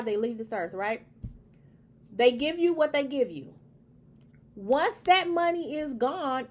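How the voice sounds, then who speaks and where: A woman giving an opinion monologue, talking emphatically and repeating her points in a preachy, lecturing way. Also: sits high. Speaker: commentary host.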